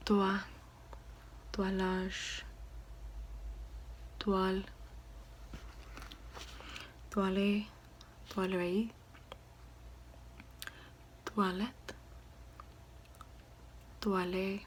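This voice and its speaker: A woman doing ASMR in a soft, breathy whisper, sounding out words one at a time. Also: medium pitch, flat delivery, extremely slow, pauses often, slight background noise, low-quality recording. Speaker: ASMR creator reading a French dictionary. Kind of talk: ASMR whispered word-by-word reading.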